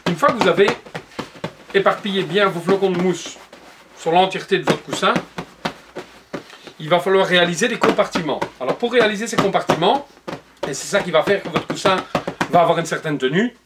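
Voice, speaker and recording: A man's voice: high-pitched, fairly quick, pauses often, background noise. Patient man explaining a craft. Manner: patient, explanatory, addresses viewer directly. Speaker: upholsterer demonstrating cushion making. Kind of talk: step-by-step how-to instructions. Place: upholstery workshop showroom, indoors.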